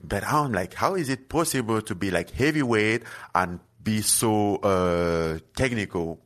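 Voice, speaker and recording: Deep-voiced man from Cameroon, earnest. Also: animated, a bit bright. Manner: halting, many fillers and restarts, earnest. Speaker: guest fighter. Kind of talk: casual interview chat.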